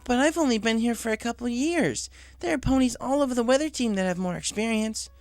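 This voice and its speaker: A man's voice: very high-pitched, a little fast, dry recording, soft background music, pitched above the performer's own voice. A man voicing a flustered, self-doubting young female pegasus pony in a story, with hesitant, stammering delivery. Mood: flustered, doubtful.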